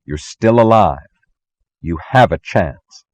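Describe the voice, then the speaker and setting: A man's voice: deep voice, animated, takes it slowly, no room sound. A man narrating a scripted philosophical speech in a solemn, emphatic, oratorical manner. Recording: faint music behind. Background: music.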